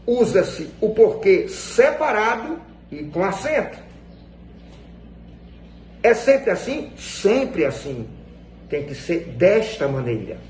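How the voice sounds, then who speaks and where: A Brazilian man teaches in a strikingly high voice that swings widely in pitch. He is didactic and emphatic, repeating phrases to stress them. The room rings with echo around his words. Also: a little mellow. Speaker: Portuguese grammar teacher. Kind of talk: instructional lesson explained to viewers. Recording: echoey room with faint background noise.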